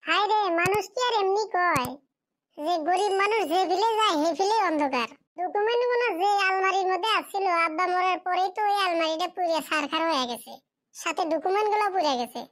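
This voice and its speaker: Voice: very high voice, expressive, brisk, fairly bright tone. A performer voicing a poor, humble village child in a cartoon drama, pleading in a rural Bengali dialect. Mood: despairing. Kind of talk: amateur cartoon drama dialogue.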